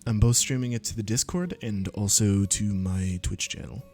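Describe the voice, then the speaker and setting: A man's voice: lowish voice, a little mellow, faint music behind. Laid-back male streamer chatting. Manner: laughs between lines, quick asides. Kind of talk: casual stream chat.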